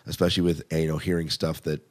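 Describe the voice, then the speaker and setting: A man's voice: extremely low pitch, little pitch movement, quick pace. A man co-hosting a podcast, casually reviewing TV in an enthusiastic, conversational way and repeating phrases for emphasis. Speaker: podcast co-host.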